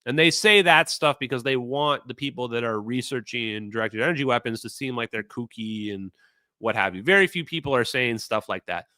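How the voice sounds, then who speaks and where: Minnesota man, bright rambling stream talk. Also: mid-range voice, a little fast, no echo. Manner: rambling, animated, fillers and self-repeats. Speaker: streamer host. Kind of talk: casual livestream talk.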